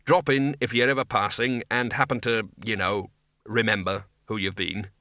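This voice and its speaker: A man voicing a blunt elderly wizard dean who speaks loudly and plainly. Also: on the low side, normal speed, dry recording, clean studio sound. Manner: blunt, loud, deadpan.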